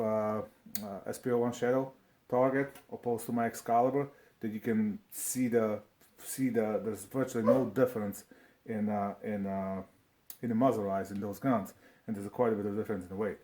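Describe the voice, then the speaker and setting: A man from Slovakia with a Slovak accent presents a casual, unscripted review, speaking haltingly and often repeating his words. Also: on the low side, fairly even intonation, noisy background. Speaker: video presenter reviewing firearms.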